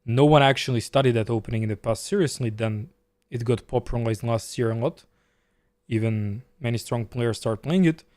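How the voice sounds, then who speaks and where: A man with a Serbian accent streams a chess game live and talks casually as he plays. He reads viewers' questions aloud and answers them in a loose, chatty way. His voice sits at a middling pitch and sounds dry and close to the mic. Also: normal speed, darkish tone, dry recording.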